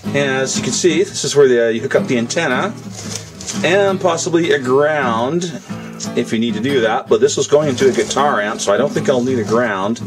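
A man giving a casual hands-on demonstration as a hobbyist builder, in a halting, hesitant way. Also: mid-range voice, music in the background.

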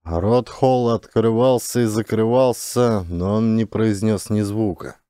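A man's voice: lowish voice, fairly even intonation, unhurried, dark tone, clear, resonant tone, no room sound, studio quality. A man reading an audiobook as scripted dramatic narration.

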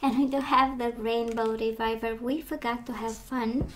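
A woman giving a casual tarot reading, chatty and reassuring, her voice strained as she loses it and clears her throat. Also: on the high side, fairly even intonation, normal speed, slight background noise. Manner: chatty, reassuring, many fillers and restarts. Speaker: tarot reader. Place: indoors at a table of tarot decks.

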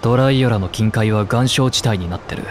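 A man's voice: lowish voice, brisk, faint music behind, natural voice. An actor voicing a stoic young elf swordsman who speaks in a curt, level way. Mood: steady.

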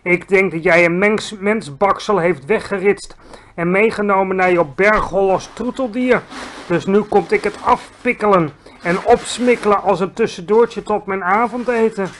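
A man's voice: moderate pace, fairly bright tone, slight background noise. A man voicing a huge, brutish, man-eating giant with a thunderous, bellowing voice in a storybook reading. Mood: menacing, insulting. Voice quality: booming, thunderous. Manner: bellowing, mangled made-up insults.

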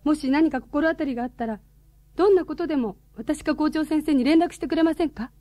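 A woman's voice: high voice, brisk, dark tone, no room sound, natural voice. An actress playing a serious, courteous woman in a radio drama, speaking formally and politely. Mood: serious inquiry.

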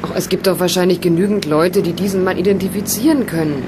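A woman's voice: lowish voice, fast, lightly acted. A woman playing an earnest, reproving young woman on an expedition in a German radio play. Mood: concerned. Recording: engine noise behind the voices.

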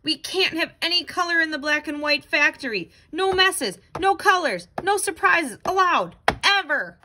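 A woman reading a children's picture book aloud in an expressive, dramatic, exclaiming way. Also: very high voice, normal speed, slight background noise. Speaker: storybook reader.

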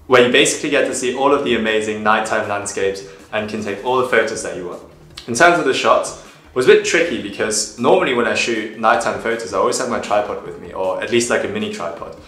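A young man vlogging to camera from home, casually explaining photography step by step in a relaxed, chatty way. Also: on the low side. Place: small room at home, indoors. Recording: echoey room.

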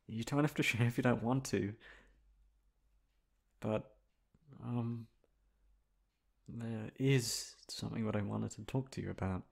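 A man's voice: lowish voice, gravelly, darkish tone, slightly rough, exaggerated voice. A man acting in an ASMR roleplay as a flustered, dominant young best friend, hesitant at times and blunt at others. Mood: playful, gentle. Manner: hesitant with pauses, turning bossy and blunt. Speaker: ASMR voice actor.

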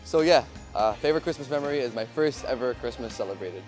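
Young man telling a halting story. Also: medium pitch, expressive, faint music behind, rough recording. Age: young adult. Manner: halting, with many fillers. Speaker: street interviewee. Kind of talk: casual storytelling. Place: outdoors in a city plaza. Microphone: lapel mic.

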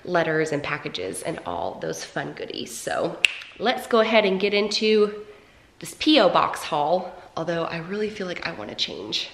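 A woman's voice: fairly low pitch, sing-song, normal speed, creaky, noisy background. A young woman vlogging at home in a chatty, upbeat way, her voice nasal and stuffed up from a cold. She sits close to the camera mic, and the bare rooms around her add a roomy echo. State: congested, stuffed up. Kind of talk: casual vlog talk to camera. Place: home, indoors. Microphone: camera mic at arm's length.

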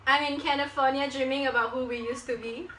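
A young woman speaks in a high, very bright voice with a giggly, casual manner, like a vlogger doing prank calls. She is recorded in a small living room on a camera a few steps away. The sound is clearly echoey, with faint noise. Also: fairly even intonation, fast. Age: young adult. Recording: roomy, noisy, distant camera microphone.

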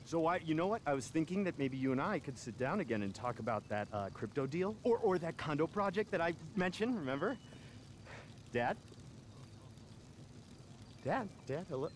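A man's voice: fairly high pitch, expressive, slight background noise, close to an everyday voice. Man acting a swaggering, spoiled young rich guy. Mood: nervous, eager. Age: young adult. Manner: halting, profane, cocky. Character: spoiled, foul-mouthed young rich party boy. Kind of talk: video game cutscene acting.

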